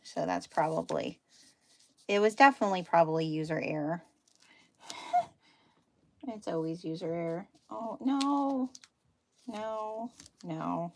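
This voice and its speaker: A woman's voice: on the low side, slow, creaky, pauses often, a little hiss or hum. A woman with a Dutchy accent, chatting casually and poking fun at herself while she demonstrates sewing at home. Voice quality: chatty, self-deprecating, talks while working. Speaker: sewing livestream host. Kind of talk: casual sewing demo chat.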